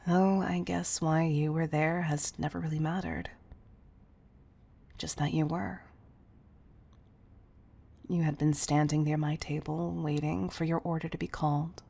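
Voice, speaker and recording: A woman playing a commanding but fond CEO in an intimate roleplay audio, speaking softly and deliberately to the listener, often near a whisper. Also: low-pitched, steady tone, heavily acted. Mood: wistful, tender. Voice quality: breathy, soft. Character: commanding, tough-loving female CEO, fond underneath.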